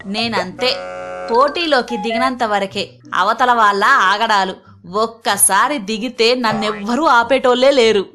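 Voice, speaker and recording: A woman's voice: a little high, a little slow, sharp tone, faint music behind. A woman voicing a sly, self-assured older mother-in-law in a Telugu cartoon story, dry and mock-modest, with a Telangana accent. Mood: smug.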